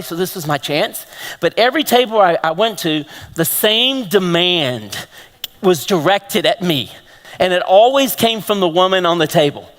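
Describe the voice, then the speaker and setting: A man with a Texan accent preaching a lively sermon to a congregation, throwing out questions to the room. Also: sits high. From Texas. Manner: animated, rhetorical questions to the congregation. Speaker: pastor. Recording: long hall reverb.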